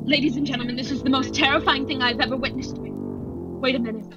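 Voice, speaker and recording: A woman playing an excited, increasingly horrified radio news reporter giving dramatic, halting eyewitness commentary in a radio play. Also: high-pitched, close to an everyday voice. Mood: horrified, awed. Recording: dull lo-fi audio under music.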